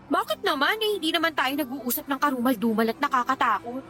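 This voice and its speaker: A woman's voice: sits high, quick pace, sharp tone. A woman playing a candid, defensive young mother in a radio drama, dissatisfied with her lot. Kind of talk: Tagalog radio drama dialogue.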